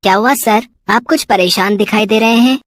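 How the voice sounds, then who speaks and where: A woman's voice: medium pitch, moderate pace, no room sound, close to an everyday voice. A woman voicing a bragging young schoolboy in a cartoon story. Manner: bragging, sly. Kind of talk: cartoon voice acting.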